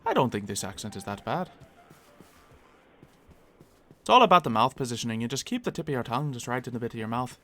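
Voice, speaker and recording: A man's voice: mid-range voice, expressive, rapid-fire, fairly bright tone, faint music behind. A man with a slight Philadelphia accent chatting casually on a live stream while he plays, musing aloud and laughing easily.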